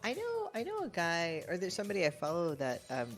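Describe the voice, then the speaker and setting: A young woman chatting casually on a game stream, rambling and halting, with giggles. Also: on the low side, wildly swooping pitch, faint music behind. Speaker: stream co-player.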